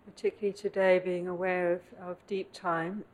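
A woman meditation teacher leading a guided meditation in contemplative, measured phrases with long pauses, recorded live at a meditation center. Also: fairly low pitch, little pitch movement, darkish tone, low-quality recording. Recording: clear background noise, live room ambience.

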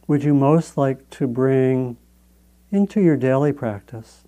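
A man leads a guided meditation in a soft-edged, relaxed voice of medium pitch. He speaks very slowly and gently, leaving long pauses between short phrases as he gives instructions as a teacher.

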